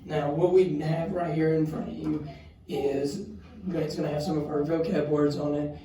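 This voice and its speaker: Young male teacher, informal explaining, very mellow, heard from a distance in a reverberant room. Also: a little high, little pitch movement, normal speed. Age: young adult. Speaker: student teacher. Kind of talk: casual classroom instruction. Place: classroom. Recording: very echoey room, distant mic.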